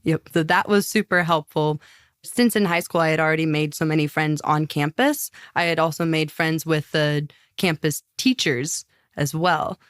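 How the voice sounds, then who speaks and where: Woman, young adult, from the US, low pitch, chatty, frequent fillers, dry sound. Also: steady tone, normal speed, dry recording. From United States. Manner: chatty, upbeat, many "like" fillers, laughs often. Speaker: podcast guest, language learner and singer. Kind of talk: casual interview chat.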